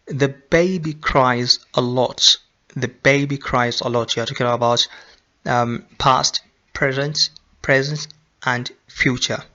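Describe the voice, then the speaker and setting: A man from Nigeria teaches a grammar lesson at a very slow, careful pace, going over each example sentence more than once. His voice is bright and forward, with a plain middle pitch. The recording sounds a little dull and lo-fi. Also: steady tone. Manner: repeats example sentences slowly, with filler ums. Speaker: English teacher.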